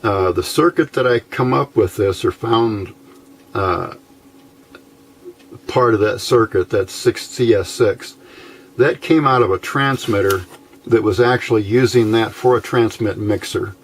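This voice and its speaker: A man giving a casual, unscripted technical explanation as a hobbyist presenter, haltingly, thinking aloud as he goes. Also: lowish voice, a little hiss or hum. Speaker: amateur radio hobbyist presenting a how-to video.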